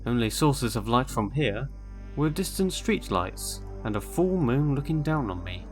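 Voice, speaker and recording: Male horror narrator, mid-pitched, unhurried and measured first-person storytelling, music underneath. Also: sing-song, a little mellow. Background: eerie background music.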